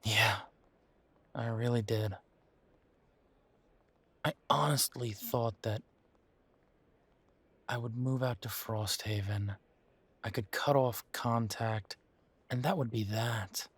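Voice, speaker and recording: A man playing a bitter, wry young werewolf in an audio roleplay, talking haltingly and sardonically as if in intimate one-sided conversation. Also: mid-range voice, a bit bright, breathy edge, no echo. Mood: rueful, bitter. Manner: halting, sardonic, conversational with sighs and repeated words.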